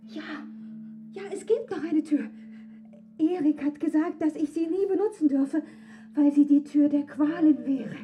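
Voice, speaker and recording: An actress playing a distressed young captive woman in a radio drama, tremulous, breathy and pleading. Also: high voice, a little slow, warm tone. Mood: tense, fearful. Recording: echoing, distant, music behind.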